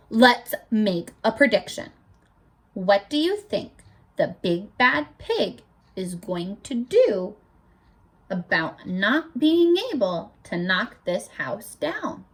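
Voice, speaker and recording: A teacher reading a picture book aloud to children, animated and stopping often to ask them questions. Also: female voice, on the high side.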